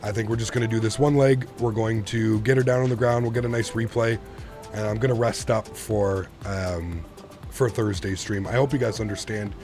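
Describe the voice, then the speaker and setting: A man's voice: lowish voice, fairly even intonation, normal speed, darkish tone, faint music behind. A man in his thirties from Canada live streaming a flight simulator, chatting casually with viewers while straining a sore throat. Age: 30s.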